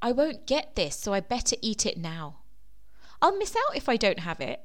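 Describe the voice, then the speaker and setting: A woman hosting a solo coaching podcast, a little croaky from a sore throat, explaining at length and trailing off softly at the ends of phrases. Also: a little high, wildly swooping pitch, moderate pace. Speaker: weight loss coach and podcast host.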